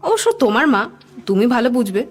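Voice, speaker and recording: A woman playing a proud, nagging middle-aged wife and mother in a cartoon, expressive and dramatic. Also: a little high, fast. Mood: hesitant. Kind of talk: Bengali cartoon voice acting.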